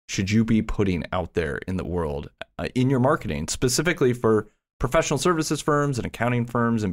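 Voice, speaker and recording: A man co-hosting a podcast in a casual, conversational style. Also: mid-range voice. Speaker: podcast co-host.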